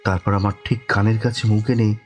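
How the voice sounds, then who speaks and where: A man acting as the shaken first-person narrator of a horror audio story, halting and suspenseful, with dramatic pauses. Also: on the low side, level tone, faint music behind, natural voice. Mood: tense suspense. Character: shaken, haunted man recounting a ghostly dream.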